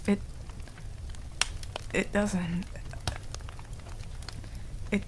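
A woman's voice: mid-range voice, level tone, very slow, noisy background. A woman voicing a proud but flustered young female werewolf in an intimate ASMR roleplay, stammering and defensive. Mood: scared, tender.

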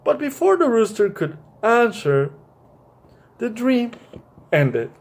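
A young adult man hosting a children's story time, speaking haltingly and often repeating his phrases. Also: very high-pitched, big pitch swings, vocal fry. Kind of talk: children's story time, read-aloud and chat to viewers. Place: small bright room indoors.

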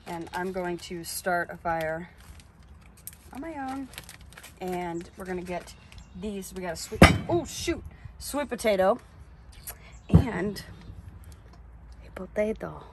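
A young woman vlogging at a campsite, talking in a chatty, excitable way with plenty of fillers. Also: lowish voice, slow pace, pauses often, heavy background noise. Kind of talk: casual camping vlog. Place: outdoor campsite beside a camper trailer. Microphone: camera on a tripod a few feet away.

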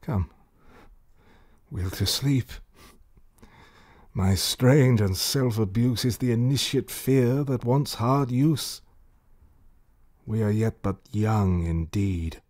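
A man's voice: lowish voice, slow pace, long pauses, darkish tone. An actor playing a guilt-haunted, volatile king in a classical Shakespearean radio drama, with a theatrical, declamatory manner. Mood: brooding, grim. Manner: theatrical, declamatory, swinging between outcry and brooding.

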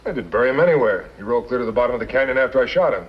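Man acting a cocky western outlaw, fast offhand talk, mid-pitched voice, muffled old soundtrack. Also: expressive, lightly acted. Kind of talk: 1950s television western acting. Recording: muffled, noisy 1950s film soundtrack.

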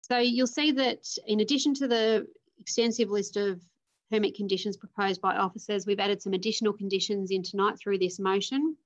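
A woman in her forties with an Australian accent, speaking as a councillor at a formal meeting, measured and careful as she reads out motion wording. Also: mid-range voice, steady tone. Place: home or office room on a video call. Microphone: video call. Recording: dull lo-fi video call audio.

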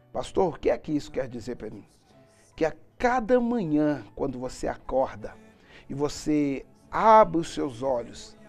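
A man in his thirties with a Brazilian accent, preaching as a pastor in an earnest, emphatic devotional style with deliberate pauses. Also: fairly high pitch, creaky, warm tone. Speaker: pastor, programme host. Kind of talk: televised devotional sermon and prayer. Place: TV studio, indoors. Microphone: lapel mic. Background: faint music.

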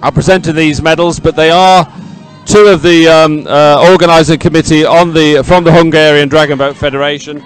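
A man's voice: fairly high pitch, fairly even intonation, a little fast, hardly any pauses, faint music behind, low-quality recording. A man giving live, ad-libbed sports commentary in a chatty, rambling, enthusiastic way.

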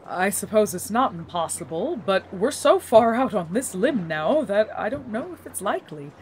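A woman's voice: mid-range voice, sing-song, moderate pace, a little hiss or hum, close to an everyday voice, poor audio. A woman playing a thoughtful, kind young woman in a fantasy audio drama, formal and articulate.

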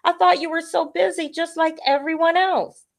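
A woman's voice: very high voice, steady tone, moderate pace, gravelly, pitched above the performer's own voice. A woman voicing a young boy llama in a children's storybook, eager and easily hurt, with a childlike, wondering manner. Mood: surprised.

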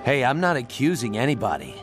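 Video game hero: laid-back, wisecracking killer, gravelly, long reverb, music underneath. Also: male voice, mid-range voice, huge pitch swings, fast talker. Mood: cocky. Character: cocky, casual male assassin swordsman.

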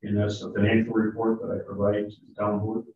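A man chairing a town board meeting, briskly reading resolutions and dollar figures in a matter-of-fact way. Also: fairly low pitch, completely flat delivery. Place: large town hall meeting room. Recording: distant echoey hall audio, thin phone-like sound, faint hiss.

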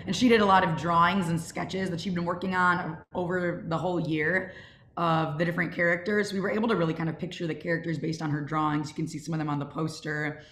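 A woman's voice: on the low side, a bit bright, roomy sound, a little hiss or hum. A young woman casually hosting a question-and-answer session over a video call. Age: young adult. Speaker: Q&A moderator from a student audio drama club. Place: indoors at home on a group video call. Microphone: video call microphone.

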